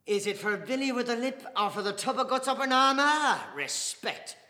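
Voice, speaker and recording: A man reading aloud, voicing a boisterous, mocking middle-aged father who blusters loudly, with an echoey room and a long reverb. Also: very high-pitched, lively intonation, moderate pace, pitched above the performer's own voice, exaggerated voice. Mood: scornful. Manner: loud, sneering, jovial bluster.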